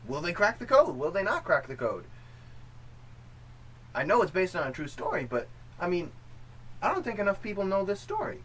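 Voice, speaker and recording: A man's voice: high voice, huge pitch swings, moderate pace, long pauses, fairly bright tone, husky, rough recording. A man co-hosting a film review show in a casual, conversational style. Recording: faint room noise.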